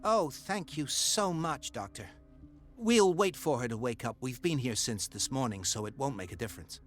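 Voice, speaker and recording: A man's voice: medium pitch, animated, normal speed, soft background music, close to an everyday voice. An actor playing a polite, earnest man, the devoted son of a hospital patient, in a video game. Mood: relieved. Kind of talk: video game cutscene acting.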